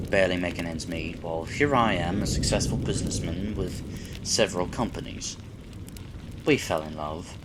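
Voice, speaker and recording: A man plays a kindly husband and businessman in a roleplay audio drama. His voice is fairly low, and he talks frankly and with measured care, gently drawing his listener out. Clear background ambience runs behind him. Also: background noise, natural voice.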